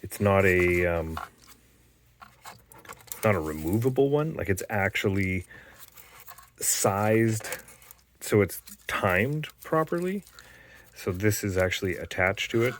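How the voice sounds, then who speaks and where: A man with a very low voice talks slowly through his hobby repair work. He rambles in a casual show-and-tell way, halting often with fillers and restarts. A faint noise sits behind him. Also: lively intonation. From Canada. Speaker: hobbyist restoring a tape machine.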